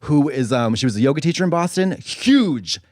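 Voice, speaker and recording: A male podcast host with a fairly high voice that leaps around as he talks. He fires off sassy one-liners, and the sound is dry and close to the mic. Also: expressive, darkish tone, no room sound. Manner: quick sassy quips. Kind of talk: casual comedic chat.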